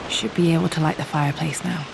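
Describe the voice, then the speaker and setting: An actress playing a thoughtful young British woman explorer in a video game, musing softly to herself. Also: low-pitched, fairly even intonation, fast, creaky, background noise. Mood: quiet, curious. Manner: soft, hushed, reflective.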